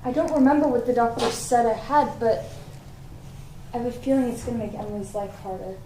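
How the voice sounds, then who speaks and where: A woman's voice: fairly high pitch, steady tone, fairly quick, close to an everyday voice. A woman playing a loving, forgetful middle-aged mother, reciting diary entries tenderly and earnestly in a stage play. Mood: apologetic, loving. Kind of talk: dramatic monologue in a one-act play. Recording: echoey stage, background noise.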